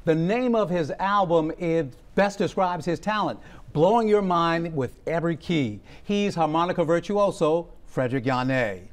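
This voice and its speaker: A middle-aged male TV host with a slightly high voice gives upbeat, polished introductions. He is recorded on a lapel mic in a television studio set. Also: expressive, moderate pace. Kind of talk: TV interview hosting.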